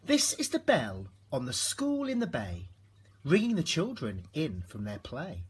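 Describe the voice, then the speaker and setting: قارئ قصص أطفال بنبرة شديدة التلوين وصوت مبحوح. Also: male voice, on the high side, wildly swooping pitch, unhurried, husky. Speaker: storybook reader. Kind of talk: expressive rhyming children's story narration.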